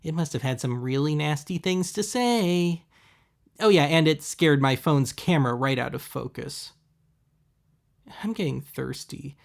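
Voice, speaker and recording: A man with a slightly high, noticeably creaky voice delivers casual narration as the host of a shopping video. His commentary is dry and sarcastic, sounding scripted but conversational. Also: a little slow. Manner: wry, sarcastic.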